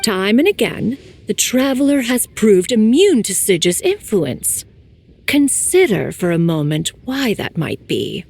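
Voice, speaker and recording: Haughty ancient immortal, very expressive. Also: female voice, medium pitch, a little slow, vocal fry, husky, heavily acted. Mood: measured, authoritative. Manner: stately, archaic, calls self "one". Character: proud, haughty, ancient female immortal crane, formal and wise. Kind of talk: video game voice acting.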